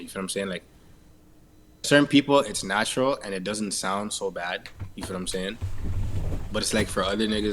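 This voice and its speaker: A man with a fairly deep voice and a Toronto accent chats casually as an interview guest. His talk is halting, full of slang and swearing, with repeated tag phrases. There is some background noise on the recording. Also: a bit bright. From Canada. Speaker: interviewee, musician.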